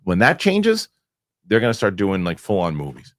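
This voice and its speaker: A man hosting a casual podcast chat, animated and rambling, often restarting his sentences. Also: fairly low pitch, normal speed, dry recording, studio quality. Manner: animated, rambling, self-correcting with repeated starts. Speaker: show host.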